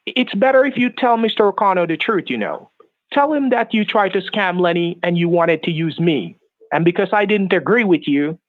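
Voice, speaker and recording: A man on a phone call, speaking in halting accusations and repeating himself. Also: a little high, dry recording. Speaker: phone scammer. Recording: tinny dry phone line.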